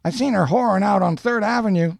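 A man's voice: high voice, fairly quick, vocal fry, no room sound, studio quality, pitched above the performer's own voice. A man voicing a crude, taunting younger working-class bully with jeering, combative street talk in an audiobook story. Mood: sneering.

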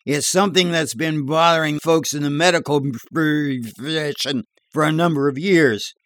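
A man's voice: a little high, steady tone, brisk, fairly bright tone, no room sound, pitched above the performer's own voice. A man voicing a brash barroom joker in an audiobook, telling dirty yarns in an emphatic, drawling, slangy, conspiratorial way. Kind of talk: audiobook character dialogue.

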